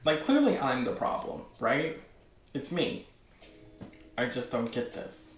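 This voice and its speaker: A man hosting a show with casual, unscripted commentary, rambling as he thinks out loud. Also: mid-range voice, big pitch swings, slow. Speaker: host of a webcomic news show. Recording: roomy, echoing sound.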